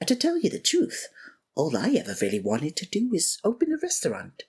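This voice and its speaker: A woman performing a scripted villain roleplay, breathy and theatrical, exasperated and swinging abruptly between registers. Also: on the low side, huge pitch swings, brisk, darkish tone. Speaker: ASMR voice actor playing a villain.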